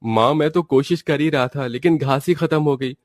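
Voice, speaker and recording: A man's voice: on the high side, moderate pace, no echo, studio quality, natural voice. A man playing an anxious, cautious village husband. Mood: worried.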